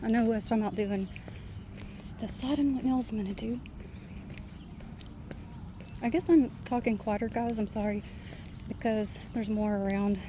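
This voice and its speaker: A soft-spoken woman with a quiet voice, chatting casually to her viewers while walking outdoors in a park. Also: fairly high pitch, noisy background. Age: adult with a son. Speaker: vlogger. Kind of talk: casual walking vlog chat.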